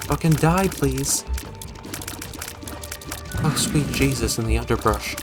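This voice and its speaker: A man's voice: medium pitch, slow, over loud music. A man hosting a gaming video with casual, joking, self-deprecating commentary and some mild swearing.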